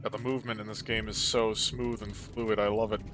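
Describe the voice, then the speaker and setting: A man's voice: lowish voice, little pitch movement, a little fast, over music. A man hosting a let's play, chatting casually as he plays, with playful theatrical asides. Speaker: host of a gaming channel.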